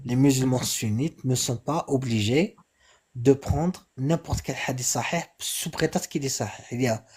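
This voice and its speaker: Brief: young man with a husky voice, measured and stubborn in a live debate. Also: mid-range voice, darkish tone. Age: young adult. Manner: slow, deliberate, repeats his point. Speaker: debate participant.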